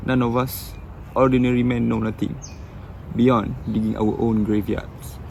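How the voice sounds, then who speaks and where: A man playing a weary, formal gentleman near sixty in a stage play, speaking in a measured, slightly halting, melancholy way. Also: mid-range voice, flat delivery, creaky, slight background noise, low-quality recording. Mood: resigned. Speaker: stage actor.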